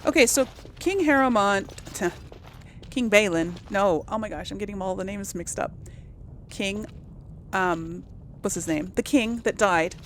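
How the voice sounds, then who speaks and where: A woman's voice: medium pitch, expressive, measured pace, slight background noise. A woman doing casual video game commentary, rambling and thinking aloud in an unsure way. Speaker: video game let's-play host.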